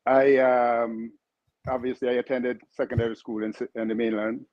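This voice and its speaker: A man in his sixties from Canouan, a retired guest who chats with halting fillers and laughs. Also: medium pitch, level tone, no room sound, lo-fi sound. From Canouan, Saint Vincent and the Grenadines. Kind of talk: casual interview chat.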